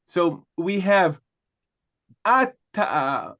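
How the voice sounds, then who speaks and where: A male teacher with a high voice takes his time, letting each phrase land slowly. He is a native Hebrew speaker and teaches in a loose, joking way. The sound is dull and muffled, like online class audio. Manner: relaxed, repeats "okay" and "right", joking asides. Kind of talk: lecturing.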